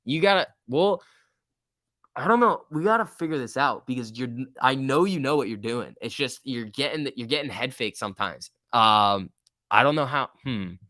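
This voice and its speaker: A man's voice: medium pitch, lively intonation, moderate pace, bright, forceful tone, no echo, clean studio sound. A man in his early twenties hosting a casual trading livestream, chatting informally and joking as he reads and answers viewer comments. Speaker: livestream host.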